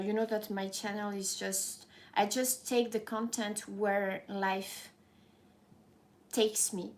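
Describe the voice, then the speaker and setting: Slow-talking French-accented woman live-streaming. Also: mid-range voice. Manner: rambling, self-correcting, frank, laughs easily. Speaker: YouTuber hosting a live stream. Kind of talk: casual live-stream chat reading comments.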